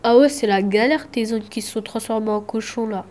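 A man's voice: high-pitched, fairly quick, darkish tone, natural voice. A teenage boy playing a breezy, boastful young messenger god with slangy, offhand speech in an audio drama.

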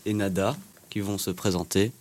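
A man's voice: deep voice, steady tone, normal speed, darkish tone, a little hiss or hum. A man asking an interview guest polite questions, sometimes stumbling over his words.

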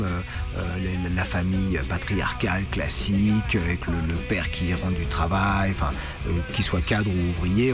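Low-voiced Frenchman reminiscing over loud music. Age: middle-aged. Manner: halting, searching for words with repetitions. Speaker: punk musician interviewee. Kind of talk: reminiscing in a documentary interview.

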